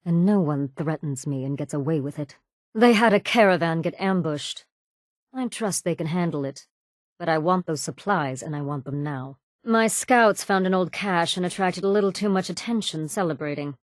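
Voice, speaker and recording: Woman acting a cold, low-voiced female raider boss. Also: dry recording, studio quality, natural voice. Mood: cold menace. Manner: cool, deliberate, sardonic threatening delivery. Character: cold, menacing female raider gang boss, sardonic and cruel. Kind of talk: video game character dialogue.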